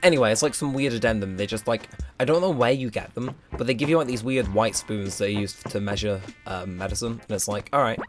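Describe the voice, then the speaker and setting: Young guy, lowish voice, hoarse throat, rambling let's play chatter, game music playing behind. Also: fast. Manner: rambling, filler-heavy, self-mocking. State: strained, slightly hoarse throat. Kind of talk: casual gameplay commentary.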